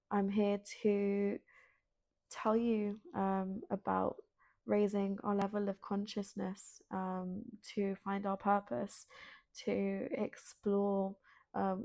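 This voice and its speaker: A woman born in London with Spanish as her first language, hosting a solo spiritual podcast in a soft, hesitant, earnest way with many ums. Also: medium pitch, vocal fry, no echo. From Colombian, born in London. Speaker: podcast host. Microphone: self-filmed at home.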